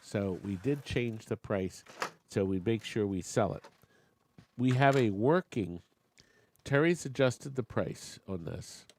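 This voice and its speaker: Gravelly man running a chatty auction. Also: on the low side, animated, takes it slowly, a little mellow, a little hiss or hum. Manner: chatty, joking asides to bidders. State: losing his voice. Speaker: auctioneer and show host. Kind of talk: live online auction chat.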